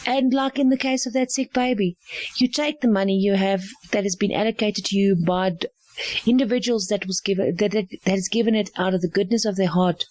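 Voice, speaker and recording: A woman's voice: mid-range voice, fairly even intonation, a little fast, darkish tone, no echo. A middle-aged South African woman whose first language is Afrikaans, speaking earnestly and repeating phrases for emphasis. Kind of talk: earnest spoken testimony.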